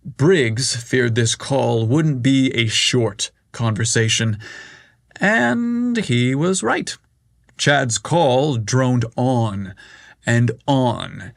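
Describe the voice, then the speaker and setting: A man reading a story aloud as narrator, in a measured, unhurried way. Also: mid-range voice, expressive, creaky, no room sound, studio quality.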